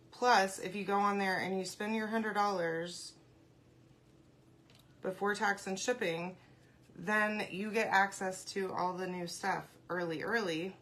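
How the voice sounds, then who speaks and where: Congested chatty woman crafting. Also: mid-range voice. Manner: chatty, greets viewers by name, warm loud greetings. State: congested, clears throat often. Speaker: craft live-stream host. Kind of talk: casual live-stream chat while crafting.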